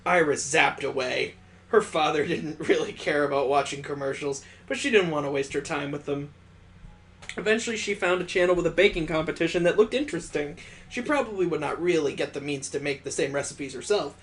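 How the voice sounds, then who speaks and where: Male let's play host, higher register, expressive story reading broken by sarcastic asides. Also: a little fast. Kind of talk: visual novel read-aloud with joking asides.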